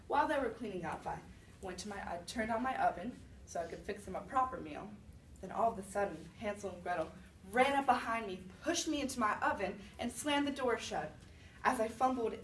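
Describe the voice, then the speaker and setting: Woman performing as wronged old widow, storybook monologue, self-justifying, conversational, medium pitch, echoey room. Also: close to an everyday voice. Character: indignant old widow accused of being a witch. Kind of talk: first-person storytelling monologue. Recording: roomy, distant mic with faint noise.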